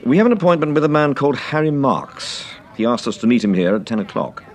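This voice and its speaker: A man playing a suave, observant British gentleman detective in a radio drama, polite and unruffled. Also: mid-range voice, very animated, quick pace, slight background noise, close to an everyday voice. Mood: polite, probing. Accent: British English. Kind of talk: British radio serial acting.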